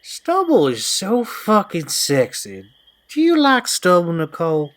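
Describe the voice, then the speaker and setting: A man's voice: high-pitched, huge pitch swings, unhurried, fairly bright tone, exaggerated voice. Goofy young guy with a playful streak, acted by a man. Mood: tipsy, playful. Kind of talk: audio drama acting.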